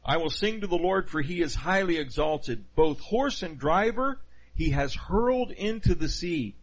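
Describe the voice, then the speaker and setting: A man's voice: on the high side, animated, normal speed. A man preaching a teaching sermon in a conversational way, often putting questions to his listeners. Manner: conversational, asks the audience questions. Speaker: pastor. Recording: dull lo-fi sound.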